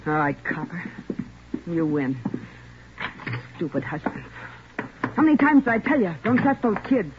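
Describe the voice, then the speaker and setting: On a muffled, noisy 1940s radio recording, a brassy American woman crook. She nags with sharp-tongued scorn, her pitch swinging widely. The temper is quick and the contempt is plain. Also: sing-song. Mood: scornful, furious. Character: brassy, hot-tempered redheaded crook, scolding wife. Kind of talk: 1940s radio crime drama acting.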